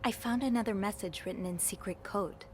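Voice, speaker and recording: An actress playing an earnest, polite young maid in a 1950s hotel mystery game, with an inquisitive manner. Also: female voice, medium pitch, a little fast, darkish tone, slight background noise, natural voice. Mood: intrigued. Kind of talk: video game cutscene acting.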